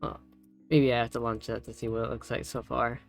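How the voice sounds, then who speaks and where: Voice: mid-range voice, flat delivery, a little fast, creaky. A tutorial host talking casually and thinking aloud, a little unsure, while working through the steps live. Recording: music playing behind.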